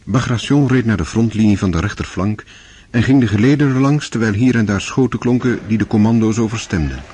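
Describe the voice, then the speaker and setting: A man's voice: lowish voice, fairly quick, warm tone, husky. A man narrating a radio drama in a scripted, literary style.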